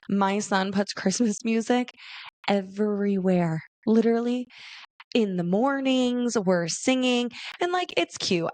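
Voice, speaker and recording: A raspy young woman from Arizona hosting a casual solo podcast, chatty and laughing often as she talks to her listeners. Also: mid-range voice. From Arizona, USA. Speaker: podcast host.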